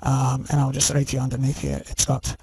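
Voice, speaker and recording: A man's voice: medium pitch, big pitch swings, fairly quick, vocal fry, a little mellow, slightly rough, dry recording. A man delivering a rambling, scornful rant to his viewers, full of "you know" and "okay?". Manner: scornful and insistent. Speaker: channel host. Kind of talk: ranting monologue to camera.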